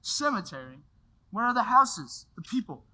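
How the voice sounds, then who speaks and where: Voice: medium pitch, big pitch swings, normal speed, bright, forceful tone, rough recording. A performer playing a desperate man pleading to get his old life back, frantic one moment and overjoyed the next. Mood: bewildered. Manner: frantic, pleading, swings from despair to joy.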